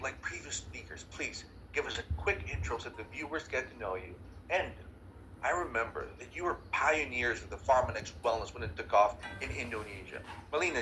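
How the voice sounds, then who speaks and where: A man hosts an online meeting in a bright, mid-pitched voice. He is effusive and quick to apologize as he introduces speakers. A faint noise sits under him. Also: rough recording. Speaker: meeting host.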